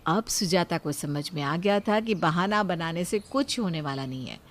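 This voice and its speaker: A woman's voice: fairly low pitch, animated, normal speed. A woman narrating a scripted moral story as its storyteller.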